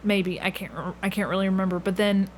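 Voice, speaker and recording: A chatty woman hosts a casual interview in an easy conversational way, laughing readily. Her voice sits at a medium pitch, with some background noise around it. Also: fairly even intonation, fast talker. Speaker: podcast host interviewer. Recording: clearly audible background room noise.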